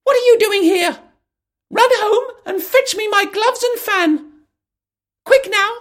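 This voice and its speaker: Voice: takes it slowly, exaggerated voice. A reader shrieking as a frantic bossy rabbit. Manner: shrill, scolding shouts. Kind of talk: storybook character voice.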